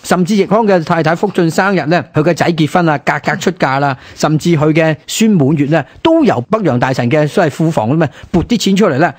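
A man's voice: on the high side, expressive, brisk, few pauses, no echo, studio quality. A man with a Hong Kong Cantonese accent discussing history in a colloquial, conversational way as a radio presenter.

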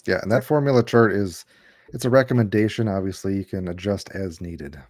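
A man with a low voice chats casually as co-host of an online live stream. There is some faint noise behind him as he adds comments and questions. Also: a little fast, a little mellow. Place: indoors on a video call. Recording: clear background noise, clicks.

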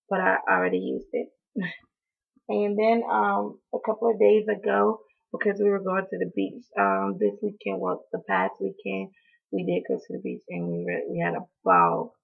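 A young woman from North Carolina, hoarse from allergies, chatting casually in a haul video with lots of ums and apologies, recorded on a close webcam in a small room. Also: mid-range voice. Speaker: beauty vlogger. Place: small indoor room, in front of a door. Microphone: webcam at close range. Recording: tinny, phone-like sound.

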